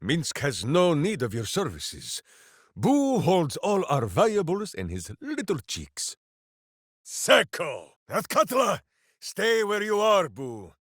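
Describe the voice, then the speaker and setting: A boisterous, simple-minded hero of a fantasy game, big-hearted and utterly earnest. His pitch leaps and drops theatrically as he delivers each pronouncement slowly and grandly, in a dry, close recording. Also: wildly swooping pitch, no echo, exaggerated voice. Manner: bombastic, earnest, speaks of himself in third person. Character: big-hearted, boisterous, dim-witted heroic ranger warrior. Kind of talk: video game character voice lines.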